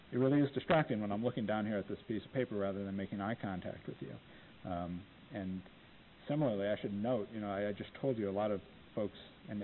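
Man, low and plain podium lecturer, filler-heavy, tinny phone-like audio with faint hiss. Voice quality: low voice, not dynamic. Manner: unhurried, many ums, plainspoken. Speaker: lecturer on appellate oral argument. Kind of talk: informal talk to law students. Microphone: podium microphone.

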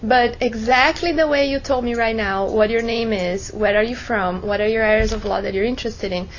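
A woman's voice: fairly high pitch, a little fast, lo-fi sound, a little hiss or hum. A woman instructor leading a classroom workshop, encouraging students and asking rhetorical questions. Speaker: law school instructor.

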